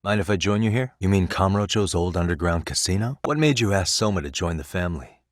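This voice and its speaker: An actor voicing a composed, determined private detective in a video game, talking in a conversational, wry and probing way. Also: male voice, low-pitched, expressive, quick pace, no echo, clean studio sound. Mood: measured, probing.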